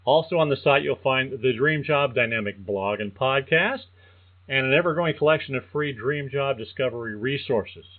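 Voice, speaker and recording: Older man with a tinny phone-line sound. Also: mid-range voice. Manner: measured, earnest, reads like prepared narration. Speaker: podcast host and career coach. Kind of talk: scripted podcast monologue.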